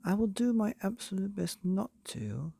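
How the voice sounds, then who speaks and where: A man's voice: high voice, sing-song, moderate pace, creaky, dark tone, breathy edge, voice pushed up, heavily acted. A man voicing a timid, mild and polite father who is trying to sound brave, hesitant and meek. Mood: reassuring.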